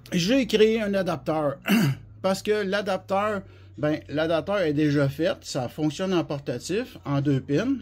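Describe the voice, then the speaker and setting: Casting: man, Quebec French accent, notably high voice with a rough husky edge, informal rambling product demonstrator. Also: darkish tone. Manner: colloquial, rambling, hands-on explaining. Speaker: CB radio specialist and seller presenting products.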